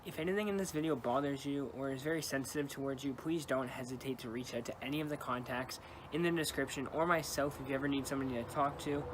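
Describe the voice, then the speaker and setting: A man's voice: mid-range voice, fast, hardly any pauses. A young man hosting an interview series, talking in a laid-back, casual way. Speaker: interviewer and channel host. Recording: clear background noise.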